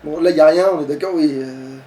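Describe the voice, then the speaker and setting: A man's voice: a little high, a little fast, dark tone. A man chatting casually as he plays through a video game, often swearing and joking crudely. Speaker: let's play gamer playing. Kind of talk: casual gaming chat with a co-commentator. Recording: distant mic, faint background noise.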